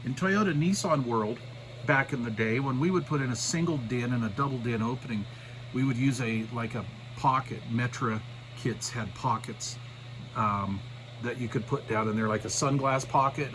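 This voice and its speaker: A man's voice: mid-range voice, fairly bright tone, a little hiss or hum, low-quality recording. A middle-aged man giving a casual, unscripted walkthrough of a DIY project in a folksy, rambling way. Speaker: DIY radio hobbyist presenter.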